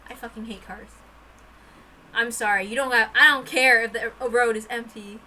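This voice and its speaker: Very high, bright-voiced woman reading aloud. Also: normal speed, rough recording. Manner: expressive, informal. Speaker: reader of a visual novel. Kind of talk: dramatic story reading with casual asides.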